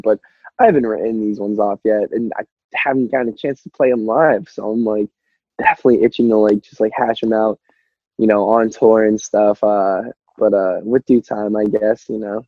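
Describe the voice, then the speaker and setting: A man's voice: fairly low pitch, steady tone, moderate pace, breathy edge, dry recording. A young man, a musician guest, chatting casually in a rambling, filler-heavy way on a dull lo-fi recording. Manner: rambling, peppered with "like" and "you know". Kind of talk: casual chat.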